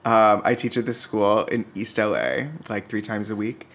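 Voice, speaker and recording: A man's voice: lowish voice, normal speed. A man chatting informally as a podcast guest, swearing easily and acting out quotes as he tells stories. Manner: informal, swearing, expressive retelling with quoted dialogue. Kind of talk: casual chat, anecdote telling.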